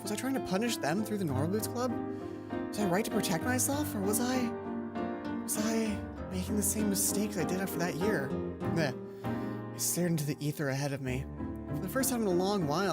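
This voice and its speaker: A woman reading a visual novel aloud as a let's play host, adding her own asides. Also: fairly low pitch. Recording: background game music. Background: music from the game.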